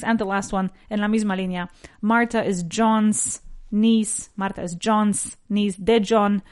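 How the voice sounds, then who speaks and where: A woman with a nasal voice teaching an English lesson. She repeats each sentence slowly and switches between English and Spanish. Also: mid-range voice. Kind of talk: bilingual language lesson.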